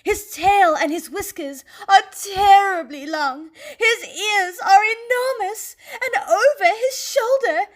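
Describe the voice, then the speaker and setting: A woman reading a children's picture book aloud in an expressive storytelling style. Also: very high voice, slow, few pauses.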